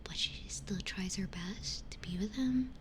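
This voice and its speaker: A woman whispering breathily and almost without voicing, in a hushed, conversational ASMR livestream style, close to a binaural microphone. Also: mid-range voice. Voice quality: whispered, breathy, mostly unvoiced. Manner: hushed, unhurried conversational musing with pauses. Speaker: ASMR streamer, host. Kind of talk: ASMR, chatting with live chat.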